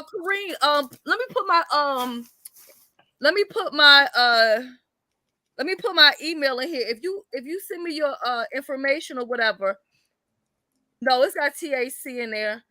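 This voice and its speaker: A woman with a raspy voice hosting a casual live chat, telling stories loudly with lots of laughter and cursing. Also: high-pitched, expressive, measured pace, long pauses, dry recording. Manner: loud, salty, laughing storyteller. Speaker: host.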